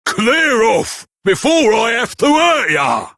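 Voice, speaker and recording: An actor playing a brash, cowardly bandit boss in a video game, with a raspy voice and working-class English accent. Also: male voice, very high-pitched, sing-song, slow pace, pushed and bright, no echo, raised voice, studio quality, heavily acted. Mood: threatening. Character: brash male bandit boss, blustering, cowardly when beaten. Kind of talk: theatrical video game voice acting.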